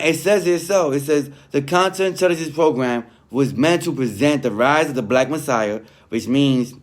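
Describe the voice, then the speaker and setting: A man giving informal, street-slang commentary. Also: on the high side. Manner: street-slang, conversational, peppered with "you know what I mean". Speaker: online commentator reviewing a written statement. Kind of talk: informal commentary mixed with reading aloud.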